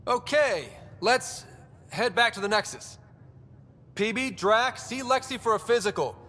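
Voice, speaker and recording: A man's voice: lively intonation, normal speed, fairly bright tone, husky. An actor playing an earnest young male space explorer and team leader in a video game, with a diplomatic manner. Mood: decisive. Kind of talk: video game cutscene acting. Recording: hall reverb, faint rumbling noise.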